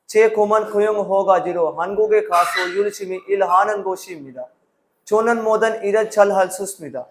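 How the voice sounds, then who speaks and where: A man's voice: high-pitched, moderate pace, a little hiss or hum. A man in his early twenties from Pakistan, a learner with a Pakistani accent, giving rehearsed formal interview answers. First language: not Korean, a learner. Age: early 20s. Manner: recited, formal. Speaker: student practising for a work-visa interview.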